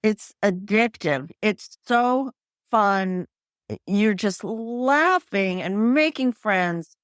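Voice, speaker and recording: A woman in her fifties, a pickleball coach, tells lively stories and laughs as she goes. Her voice sits in a middle pitch. The recording is dry and close, with no room sound. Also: extremely slow, no echo. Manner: animated storytelling, laughs often, acts out her own quotes. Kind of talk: podcast interview guest.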